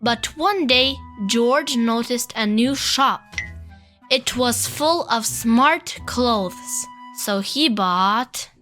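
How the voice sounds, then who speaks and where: A woman reading a children's picture book aloud, slow, clear and expressive. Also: on the high side. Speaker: storybook reader. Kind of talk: read-aloud narration for children. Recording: faint background music.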